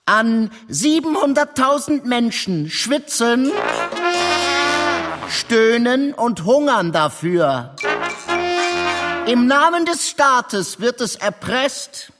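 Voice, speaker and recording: A man's voice: extremely high pitch, very animated, extremely slow, long pauses, over music, heavily acted. A man playing an impassioned reciter of a revolutionary pamphlet, with emphatic, stretched declamation in an experimental musical audio drama. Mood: impassioned, accusing.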